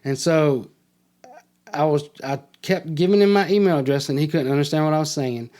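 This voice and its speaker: A man's voice: on the high side, moderate pace, darkish tone. A middle-aged man, a podcast guest, telling his own story in a casual way and acting out remembered dialogue.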